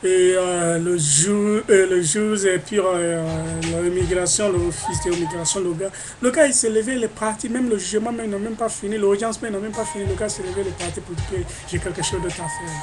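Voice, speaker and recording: African guy ranting into his webcam, keeps repeating himself, loud music going in the background. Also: sits high, fairly even intonation, fairly quick, hardly any pauses. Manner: emphatic and indignant, repeats phrases. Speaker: vlogger. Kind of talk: webcam monologue.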